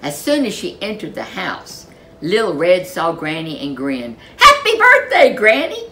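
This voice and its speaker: A woman narrating a children's story in an expressive, dramatic storyteller's manner. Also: sits low, unhurried, slight background noise.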